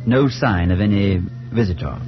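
An actor playing a steady, concerned man who narrates a supernatural tale and acts in it, in earnest, firm 1940s radio style. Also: lowish voice, normal speed, music in the background, lightly acted. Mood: grave, measured. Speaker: narrator and friend. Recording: muffled 1940s radio recording.

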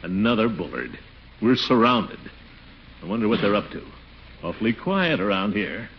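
Blustering uncle, wildly swooping voice. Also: male voice, lowish voice, big pitch swings, normal speed. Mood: teasing, nosy. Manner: pompous, exclamatory, chuckling. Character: blustering middle-aged uncle, pompous, nosy, chuckling. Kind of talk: 1940s radio comedy acting. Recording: dull, noisy 1940s radio recording.

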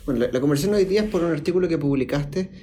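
A man's voice: on the high side, rapid-fire, mellow. A man hosting a podcast interview, asking eager questions and often tripping over repeated words. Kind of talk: conversational interview.

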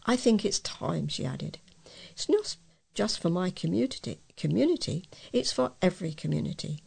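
A woman's voice: lowish voice, animated, normal speed, creaky, darkish tone, husky. A woman reading local news articles aloud as a volunteer reader.

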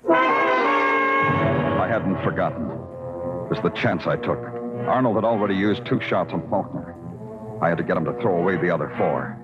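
Hard-boiled night-shift cop, sardonic and dramatically varied, dull old radio sound with music behind. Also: male voice, deep voice, huge pitch swings, normal speed, pauses often. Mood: tense narration. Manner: sardonic, tough, clipped. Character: hard-boiled, wisecracking New York night-shift police detective. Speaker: lead actor and narrator. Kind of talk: 1950s hard-boiled radio crime acting.

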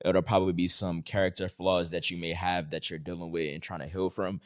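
Low-voiced man giving earnest advice. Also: little pitch movement, fairly quick, no room sound, studio quality. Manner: earnest, encouraging, filler-heavy with "like". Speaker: podcast co-host. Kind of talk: casual podcast chat.

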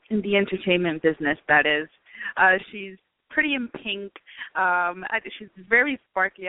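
A woman's voice: lowish voice, clean tone, dry recording. A woman hosting her own interview show, talking casually with many hesitations. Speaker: show host. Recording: dry tinny phone-like line.

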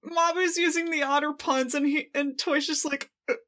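A woman co-hosting a casual fan podcast, laughing as she talks and excitedly acting out the lines she quotes. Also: high voice, normal speed. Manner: giggly, laughs while talking. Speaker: podcast co-host.

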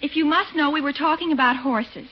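An actress playing a lovestruck, defiant teenage niece, airy and melodramatic in 1940s radio comedy. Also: female voice, high voice, brisk, natural voice. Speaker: supporting actor in a radio comedy. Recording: dull 1940s radio recording, faint noise.

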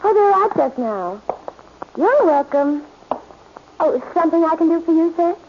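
An actress playing a chatty, busy apartment switchboard operator with a singsong, brisk telephone manner in 1940s radio style. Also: extremely high pitch, very animated, exaggerated voice. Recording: dull, noisy old radio recording.

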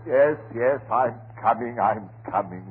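An actor playing an obsessed, secretive and nervous husband in a 1930s radio horror melodrama, speaking in halting, repetitive phrases. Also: male voice, medium pitch, close to an everyday voice. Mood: agitated, secretive. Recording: scratchy, hissy, narrow-band 1930s radio recording.

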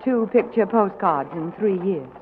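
A woman playing a reserved young princess who answers with cool, dry remarks. The 1940s radio drama is heard through a tinny broadcast with clear hiss behind it. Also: mid-range voice, animated, unhurried, natural voice.

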